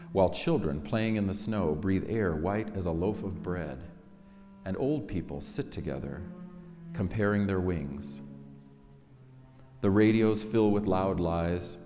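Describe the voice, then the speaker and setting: A middle-aged man gives a solemn, dramatic poetry reading, measured and expressive, with weighty pauses. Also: low-pitched, over music. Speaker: poet reading own work. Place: large synagogue sanctuary, at a lectern. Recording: echoey hall reverb.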